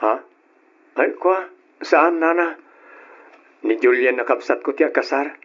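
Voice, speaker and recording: A man playing a humble, polite young janitor in an old radio drama, with a husky voice. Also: fairly high pitch, a little slow, a bit bright, dull audio. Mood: polite, bashful.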